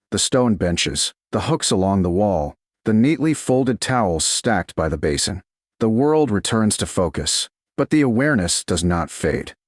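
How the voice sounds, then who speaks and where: A man reads a romance audiobook in a slow, soft, deliberate way. His voice is low but moves through a lively, melodic range. The recording is dry and close, with no audible room. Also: dry recording. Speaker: narrator. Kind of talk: scripted audiobook narration of a romance.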